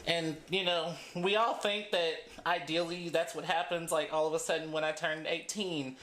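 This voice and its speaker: A woman in her thirties holds a casual storytime chat with her viewers as she braids her hair at home. Her voice sits a little low. She talks in loose, chatty runs and laughs often between her thoughts. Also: fairly even intonation, moderate pace, fairly bright tone, reverberant. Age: 30s. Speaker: beauty vlogger.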